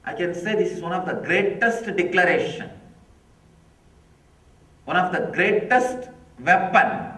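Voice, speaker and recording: A middle-aged man with Tamil as his first language, giving a spiritual discourse slowly and emphatically, repeating key phrases. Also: high-pitched, fairly bright tone. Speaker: spiritual teacher. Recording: echoey hall from far off.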